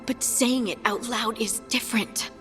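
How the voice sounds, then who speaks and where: A woman's voice: a little high, steady tone, measured pace, long reverb tail, over music, lightly acted. An actress playing an anxious, earnest young woman companion in a video game, hesitant and emotional in delivery. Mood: subdued, pleading. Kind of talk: dramatic video game acting.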